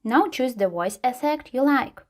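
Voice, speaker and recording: A woman hosting a tutorial, reading scripted step-by-step how-to narration at a medium pitch. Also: expressive, a little slow. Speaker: tutorial host.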